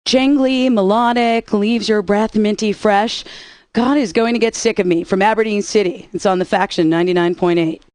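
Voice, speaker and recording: A woman's voice: mid-range voice, steady tone, normal speed, a little mellow, dry recording. A woman radio DJ with sassy, playful patter between songs.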